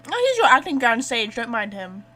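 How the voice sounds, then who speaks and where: A woman giving casual let's play commentary as a game streamer, chatty and expressive. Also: on the high side, brisk.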